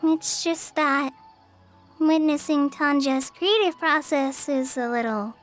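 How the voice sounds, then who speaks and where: A woman's voice: high-pitched, takes it slowly, faint music behind. A woman voicing a shy, weary young female forest ranger who stammers hesitantly. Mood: flustered. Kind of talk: game voice acting.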